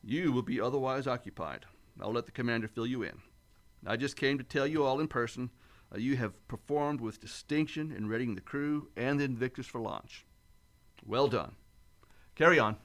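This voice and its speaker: Male actor as starship captain, steady, formal, commanding, mid-pitched, sci-fi radio drama. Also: moderate pace, close to an everyday voice. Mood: formal, approving. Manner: formal, authoritative military address. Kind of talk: sci-fi radio play acting.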